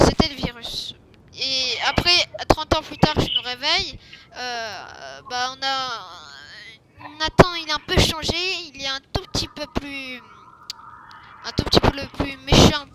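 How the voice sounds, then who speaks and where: A woman with a very high voice improvises a character for a game roleplay. She talks hesitantly and often restarts her sentences, and her voice chat carries clear background noise. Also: lively intonation, measured pace. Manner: hesitant, many fillers and restarts. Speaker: roleplay player.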